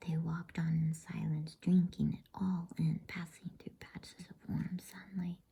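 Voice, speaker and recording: A woman reading softly in a breathy whisper, in a soothing, unhurried ASMR bedtime story style. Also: fairly low pitch, flat delivery. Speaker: host and storybook reader.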